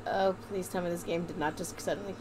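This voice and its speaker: A woman's voice: lowish voice, steady tone, fast, noisy background. A woman giving wry, impatient, casual commentary while streaming a game.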